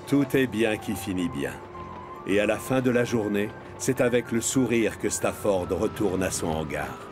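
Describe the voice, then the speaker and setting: A man's voice: lowish voice, expressive, moderate pace, music in the background. A man narrating a children's cartoon as a scripted storyteller.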